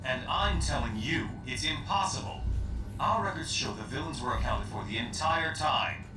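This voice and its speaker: A man's voice: expressive, normal speed, lightly acted. A man voicing a curt, dismissive war leader in a video game cutscene. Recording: echoey, distant, noisy with rumble. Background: rumbling noise.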